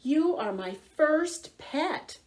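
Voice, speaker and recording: Young storybook cat boy, female reader: friendly, earnest, unhurried, very expressive pitch. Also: full character voice. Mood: eager, earnest.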